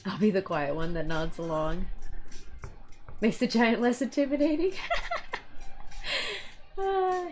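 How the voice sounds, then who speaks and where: A woman's voice: medium pitch, takes it slowly, long pauses, noisy background. A woman in her thirties co-hosting a live painting stream, chatting casually and laughing often between remarks. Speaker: livestream co-host and miniature painter. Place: home hobby room, indoors.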